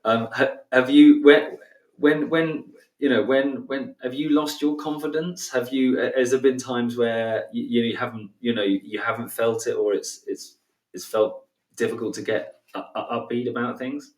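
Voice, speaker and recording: A man hosting a podcast, asking questions in a halting way, repeating words and saying "you know" often. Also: medium pitch, fairly even intonation. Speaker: podcast host. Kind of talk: interview questions. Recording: roomy, distant from the mic.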